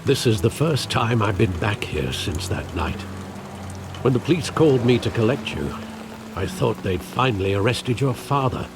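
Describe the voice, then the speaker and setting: An actor playing a loyal, weary older butler and guardian in a video game, speaking in a measured, earnest, formal way. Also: male voice, fairly low pitch, lively intonation, noisy background. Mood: reflective. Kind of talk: dramatic video game acting.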